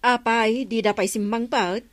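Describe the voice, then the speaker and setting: A woman's voice: a little high, steady tone, a little slow, dry recording, natural voice. A woman playing an inquisitive village neighbour in an Ilocano radio comedy drama, curious and drawling, with an Ilocano accent.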